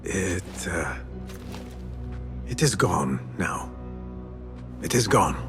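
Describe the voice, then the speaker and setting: An actor playing a brooding, haunted young assassin in a video game, halting and terse. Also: male voice, sits low, big pitch swings, full character voice. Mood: troubled, hesitant. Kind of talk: video game cutscene dialogue. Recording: music behind.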